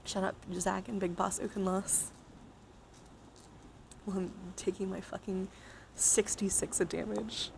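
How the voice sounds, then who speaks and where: A woman's voice: medium pitch, little pitch movement, a little slow, gravelly, long pauses. Playful woman livestreamer over background noise. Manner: playful, giggly, swears freely. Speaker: livestream host. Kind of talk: casual livestream chat with viewers during a dice game.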